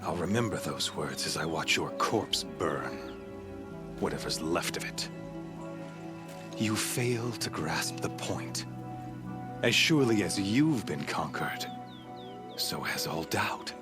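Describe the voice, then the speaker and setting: An actor playing a cruel, self-righteous zealot warlord in a game cutscene, with grandiose, deliberate, theatrical phrasing. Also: male voice, low-pitched, expressive, over loud music. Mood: contemptuous zeal. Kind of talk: dramatic game cutscene acting.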